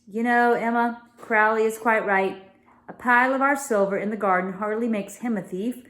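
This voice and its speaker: Woman voicing a blustering old-fashioned father, pitched up. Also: moderate pace, fairly bright tone, natural voice. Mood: exasperated. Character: exasperated upper-class father, bewildered and blustering.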